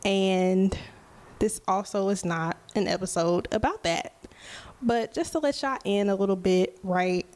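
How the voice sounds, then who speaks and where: A woman's voice: medium pitch, a little mellow. A woman in her twenties hosting a solo podcast, talking casually and openly to her listeners, often checking in with "right?". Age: 20s. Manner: slow, unhurried, conversational, often asking "right?". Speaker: podcast host and musician.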